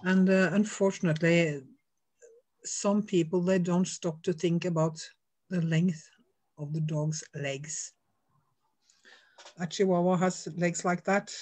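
A woman's voice: on the low side, steady tone, a little mellow. Frank Norwegian-accented woman on a video call. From Norway. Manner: frank, laughs easily, unhurried. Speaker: dog trainer guest. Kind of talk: casual video call interview. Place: home room with shelves.

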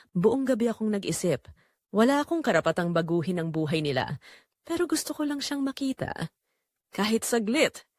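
A woman's voice: medium pitch, dry recording, clean studio sound. A woman with a Filipino accent narrating a scripted audio drama in the first person, in a dramatic, confessional way. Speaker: narrator telling the story as its main character.

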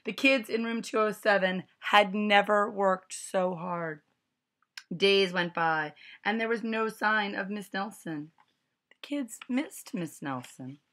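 A woman's voice: medium pitch, takes it slowly, a bit bright. A middle-aged woman reading a picture book aloud to children at home, recorded on a webcam. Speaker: storyteller. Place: small furnished room at home. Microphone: webcam at arm's length.

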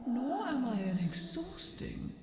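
A woman's voice: sits high, sing-song, slow pace, a crowd in the background, full character voice. A woman playing a powerful, irritated goddess in a fantasy audio roleplay, blunt and sarcastic, in an echoing space. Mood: exasperated rant. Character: exasperated, blunt, powerful goddess from another dimension. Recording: echoey long reverb, faint background noise.

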